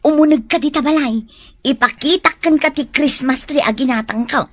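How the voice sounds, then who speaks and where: A woman's voice: high voice, normal speed, close to an everyday voice. A woman playing an envious, competitive housewife in a comedic radio drama, scornful and exclamatory, with an Ilocano accent. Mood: boastful, insistent. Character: envious competitive housewife sneering at her showy neighbour.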